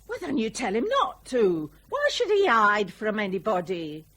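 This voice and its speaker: An actress in a radio drama playing a disapproving older mother who is sharp and probing but concerned. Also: medium pitch, wildly swooping pitch, moderate pace. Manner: reproachful, probing.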